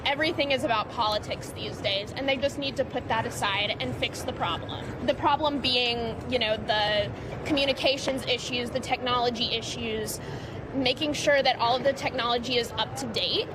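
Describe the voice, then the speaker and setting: A woman's voice: a little high, normal speed. A woman giving her opinion as a traveler in a news vox pop. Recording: clear outdoor traffic background noise.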